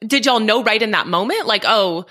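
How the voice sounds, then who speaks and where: A woman hosting a podcast, interviewing in a casual, animated and informal way. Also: mid-range voice, normal speed. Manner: animated, informal, chuckles as she talks. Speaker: podcast host.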